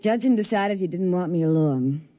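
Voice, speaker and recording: A woman's voice: lowish voice, lively intonation, normal speed, warm tone, close to an everyday voice. An American actress playing a weary, restless middle-aged woman in a 1950s radio drama. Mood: bitter, resigned. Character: weary, restless woman longing for a clean start.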